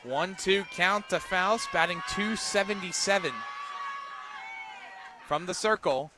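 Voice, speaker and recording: A man doing live radio play-by-play of a softball game, calling the action in short, clipped phrases. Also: high-pitched, takes it slowly, crowd noise, noisy background. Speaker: play-by-play announcer.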